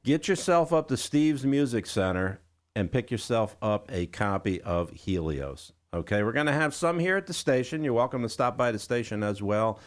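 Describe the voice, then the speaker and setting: A man's voice: medium pitch, lively intonation, moderate pace. A man hosting a live radio show in a chatty, folksy way, speaking directly to listeners from a studio. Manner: folksy, addresses listeners as guys. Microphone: studio broadcast microphone.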